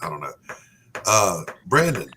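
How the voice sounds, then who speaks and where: A man hosting a casual podcast chat, animated and playfully exasperated. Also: low voice, measured pace, very noisy. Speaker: podcast host.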